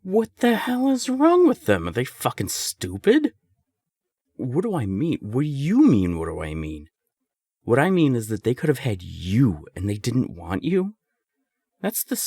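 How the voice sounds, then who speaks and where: A man's voice: mid-range voice, darkish tone, breathy edge, dry recording, clean studio sound, full character voice. A young man acting a candid serial-dater friend who is secretly smitten, conversational and emphatic, in an intimate audio roleplay. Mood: indignant, adoring. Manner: conversational, hesitant, emphatic swearing.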